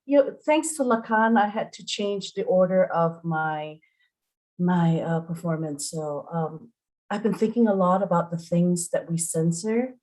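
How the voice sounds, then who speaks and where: Middle-aged woman, slow pace, earnest and deliberate, poet at an open mic. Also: lowish voice, a little mellow, low-quality recording. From Singapore. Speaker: poet and performer. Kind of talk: spoken introduction to open mic poetry. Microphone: online video call at home.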